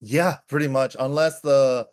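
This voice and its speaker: A man's voice: mid-range voice, steady tone, a little slow. Laid-back man saying "dude" a lot. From Hawaii. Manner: laid-back, keeps calling people "dude". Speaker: podcast guest. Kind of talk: casual chat.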